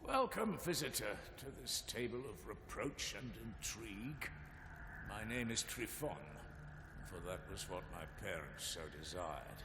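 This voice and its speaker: An actor playing a scornful, resentful brother in a video game, with theatrical, archaic, accusing diction. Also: male voice, lowish voice, very animated, measured pace. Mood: grand welcome. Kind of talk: scripted dramatic game dialogue. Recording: echoing hall, long reverb, faint hiss.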